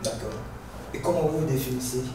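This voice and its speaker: A man's voice: medium pitch, a little fast, over music. A man hosting an interview, putting formal, prepared questions to his guest. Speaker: interviewer, host. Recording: echoey, distant mic, faint noise behind.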